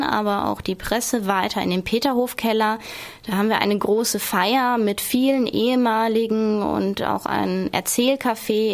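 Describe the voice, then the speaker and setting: A young woman as a radio interview guest, explaining things in an articulate, informative and orderly way. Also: medium pitch. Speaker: interview guest, exhibition curator.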